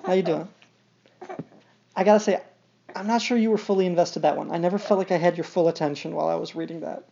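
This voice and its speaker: A man with a soft, mellow voice reads a storybook to a small child in a casual, wry way. He sounds conversational, moving between the text and his own asides. The recording is slightly muffled, with faint background noise. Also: high voice, steady tone, quick pace, creaky, long pauses. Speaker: podcast host reading a picture book aloud to a young child.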